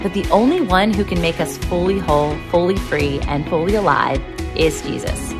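A woman's voice: fairly low pitch, moderate pace, music in the background. A woman podcast host delivering a scripted motivational introduction in an emphatic, rallying manner.

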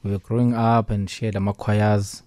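A man from the Eastern Cape, a musician chatting in a relaxed, rambling way on a radio interview. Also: lowish voice, moderate pace, slight background noise. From Eastern Cape, South Africa. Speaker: musician guest.

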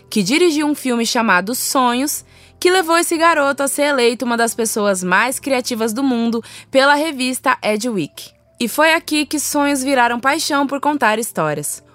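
A woman's voice: on the high side, fairly bright tone. A woman with a Brazilian accent, hosting a podcast as she introduces and interviews a guest. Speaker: podcast host.

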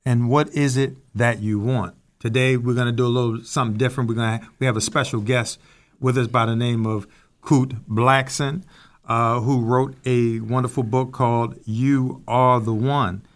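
A man co-hosting a talk show, introducing the topic and guest in a measured, somewhat formal way. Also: medium pitch, fairly even intonation. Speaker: co-host.

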